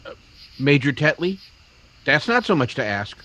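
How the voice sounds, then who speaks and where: A man's voice: a little high, very animated, normal speed, bright, forceful tone, slight background noise, low-quality recording. A man playing an earnest townsman in a radio western, pleading and a little hesitant. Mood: earnest reasoning. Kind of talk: old-time radio drama acting.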